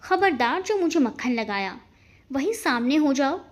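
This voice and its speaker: A high-pitched, stern middle-aged aunt from an audio storybook, acted out by a woman narrator. She scolds with biting sarcasm and a sharp, no-nonsense temper. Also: big pitch swings, normal speed. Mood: taunting.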